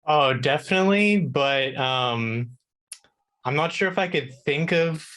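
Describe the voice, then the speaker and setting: A man's voice: fairly high pitch, unhurried, a bit bright. A man chatting casually as a guest on a talk show, easygoing and agreeable. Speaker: guest, video game contributor. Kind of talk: casual conversation.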